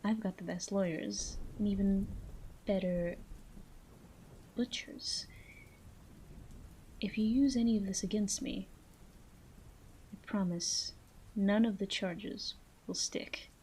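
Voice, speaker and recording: A woman playing a flirty, menacing female mob boss in a roleplay audio drama, teasing and deliberate, with breathy asides. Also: medium pitch, steady tone, a little mellow, a little hiss or hum, close to an everyday voice, poor audio. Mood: quietly threatening.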